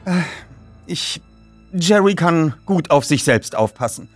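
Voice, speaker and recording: A man's voice: a little high, animated, slow pace, faint music behind, voice pushed up. A man voicing a guarded, protective mother of about forty in an audiobook reading. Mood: evasive, hesitant. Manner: stern, hesitant, guarded.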